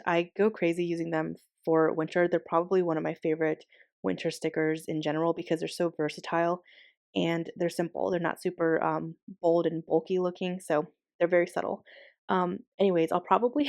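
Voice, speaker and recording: A young woman talks casually in a lowish, slightly hoarse voice, as she is losing it. She rambles chattily with plenty of ums and likes. The sound is close and dry, recorded in a bedroom. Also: a little mellow, no echo. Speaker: planner channel host.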